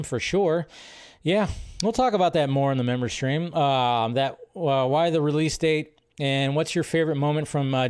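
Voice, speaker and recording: A man's voice: mid-range voice, clear, resonant tone. A man hosting a casual film news livestream, rambling with lots of fillers and restarts as he reads out viewer questions and answers them offhandedly.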